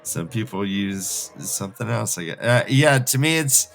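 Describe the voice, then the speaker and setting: A man's voice: on the low side, lively intonation, moderate pace, creaky, fairly bright tone, soft background music. A man co-hosting a podcast, discussing and bantering casually in a thoughtful, hesitant, wry way. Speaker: podcast co-host.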